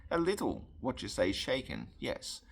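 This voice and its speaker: A man voicing a courteous middle-aged French gentleman in a storybook, with a French accent and polite, halting, elegant phrasing. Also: mid-range voice, wildly swooping pitch, gravelly, pitched above the performer's own voice, full character voice. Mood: shaken, courteous.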